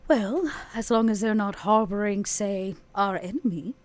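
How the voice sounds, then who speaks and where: A woman's voice: mid-range voice, measured pace, a little mellow, lightly acted. A woman voicing a bold, haughty female vampire in a tabletop game, wry and coolly threatening.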